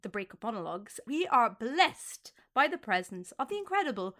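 A woman's voice: a little high, big pitch swings, fairly quick, bright, forceful tone. An Irish woman co-hosting a podcast, chatting casually, self-mocking and prone to trailing off. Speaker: podcast co-host. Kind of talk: casual chat.

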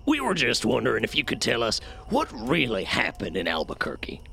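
A man's voice: medium pitch, wildly swooping pitch, a little fast, gravelly, sharp tone, slight background noise, full character voice. An actor playing an easygoing, folksy man in an audio drama, with casual, hesitant phrasing. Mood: curious. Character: folksy, easygoing man, drawn to scary stories.

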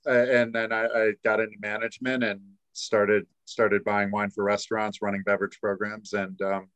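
A young man talks as a guest in a low, flat voice with little rise and fall. The sound is dry and close. He drifts along with uhs and ums. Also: no room sound. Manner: laid-back, halting with fillers. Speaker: guest, restaurant wine buyer. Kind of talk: casual interview chat.

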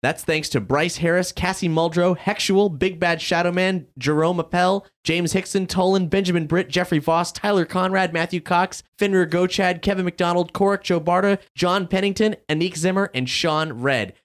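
A man's voice: high-pitched, fairly even intonation, normal speed, hardly any pauses. Upbeat male podcast host. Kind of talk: casual show intro.

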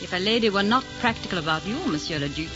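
A woman's voice: medium pitch, expressive, natural voice. Woman playing a lilting, coy English noblewoman. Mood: coy, romantic. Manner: coquettish, measured. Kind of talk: 1940s radio romance acting. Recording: dull 1940s radio recording with music.

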